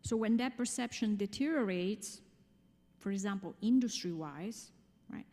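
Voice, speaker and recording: A woman's voice: medium pitch, measured pace, dark tone. A woman with an Italian accent giving an explanatory, emphatic conference presentation, often checking in with rhetorical questions. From Italy. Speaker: conference speaker. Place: large conference room, speaking to an audience. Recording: long hall reverb.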